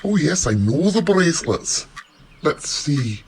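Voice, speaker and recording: A man's voice: fairly high pitch, very animated, slow, crowd noise, heavily acted. A man playing a polite, formal, kindly shopkeeper in a children's radio play. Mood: apologetic. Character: courteous male shopkeeper, kindly and apologetic. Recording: faintly noisy home video-call recording.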